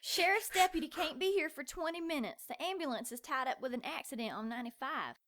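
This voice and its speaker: An actress playing a chatty small-town diner waitress, friendly and gossipy. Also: female voice, sits high, lively intonation, fast, a bit bright.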